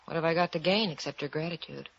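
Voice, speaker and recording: A woman's voice: deep voice, fast, vocal fry. An actress playing a cool, seductive, evasive woman in a 1940s radio crime drama, coy and worldly. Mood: coy, guarded. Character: cool, seductive, evasive noir femme fatale. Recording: dull, faintly noisy old radio recording.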